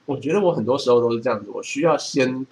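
A man's voice: medium pitch, expressive, very fast. A man with a Taiwanese Mandarin accent speaking casually and colloquially as a podcast co-host. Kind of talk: casual chat, reading book passages aloud. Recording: faint background noise.

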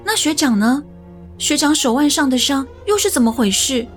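Curious, earnest young female cafe worker for audio drama. High register, lively intonation. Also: normal speed, soft background music. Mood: concerned, probing. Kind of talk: Mandarin audio romance drama acting.

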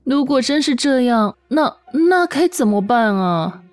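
一位女性有声小说旁白，照着剧本富有表情地讲述故事。. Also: female voice, on the high side, lively intonation, unhurried, a little mellow. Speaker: audiobook narrator. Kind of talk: expressive scripted story narration. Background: background music.

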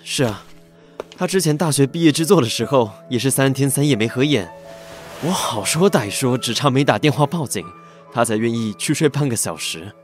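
A man's voice: medium pitch, expressive, normal speed, lightly acted. Easygoing young Taiwanese man, actor-played, music behind. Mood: sincere, fond. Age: young adult. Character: easygoing, affectionate young male pastry chef's assistant. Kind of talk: audio drama acting with narration.

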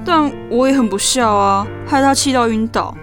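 A woman's voice: on the high side, normal speed, over music, lightly acted. A young woman playing a sensitive, self-doubting younger sister in an audio drama, plaintive and confiding. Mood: hurt, guilty. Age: young adult.

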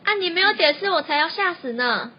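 High-pitched, easily spooked college girl played by a woman. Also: expressive, brisk, lo-fi sound. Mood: flustered. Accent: Taiwanese Mandarin. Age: young adult. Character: excitable, easily spooked female college dorm student. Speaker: student radio drama actor. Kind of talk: amateur campus radio comedy drama.

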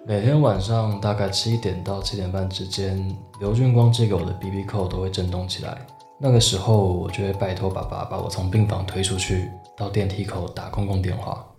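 Low-voiced teasing student, roomy, over music, man acting. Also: little pitch movement, brisk, a little mellow. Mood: wistful narrating. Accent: Taiwanese. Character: lovestruck teasing male student narrating from hospital. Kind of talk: audio drama narration and dialogue. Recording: roomy echo, music behind.

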